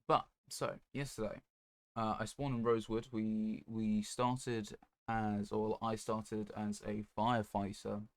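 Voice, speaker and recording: Low-voiced man, casual game streamer. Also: flat delivery, measured pace, no echo. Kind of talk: casual livestream chat while gaming.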